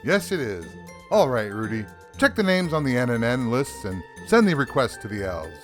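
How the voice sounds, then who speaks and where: A man's voice: medium pitch, very animated, moderate pace, fairly bright tone, faint music behind. A man playing a weary, indignant Santa Claus, theatrical and grumbling, in an audio drama. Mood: wistful, jolly. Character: weary, indignant Santa Claus, big jolly boss turning rebel.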